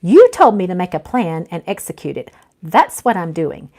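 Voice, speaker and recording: Woman reader playing young female schemer, sassy, confident, defensive, animated pitch, storybook dialogue. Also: normal speed.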